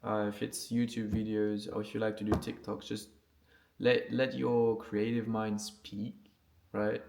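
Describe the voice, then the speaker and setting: A man's voice: lowish voice, level tone, measured pace, gravelly, a little mellow. A man who speaks English as a second language, chatting casually and unscripted to camera as a vlogger, in an informal, slangy, sweary and earnest way with frequent check-ins. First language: not English. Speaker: vlogger and music producer.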